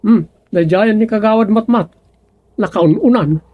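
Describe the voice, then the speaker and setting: A male actor playing an excitable, dramatic village man in an Ilocano radio comedy drama, with an Ilocano Filipino accent and a creaky voice. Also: high-pitched, a little slow, no echo, narrow, tinny audio. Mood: earnest, explaining.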